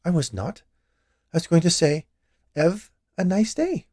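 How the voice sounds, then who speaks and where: A man's voice: a little high, very animated, measured pace, dry recording, clean studio sound, pitched above the performer's own voice. A man voicing a cheerful, chatty large woman who runs a candy shop in a storybook tale. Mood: curious, teasing. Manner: bright, chatty, eager.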